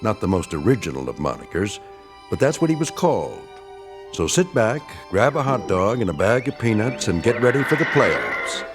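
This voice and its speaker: A wry American storyteller delivers theatrical radio narration for an eerie tale. His lowish voice moves dramatically up and down over clear music. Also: sing-song, normal speed. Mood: wry, ominous.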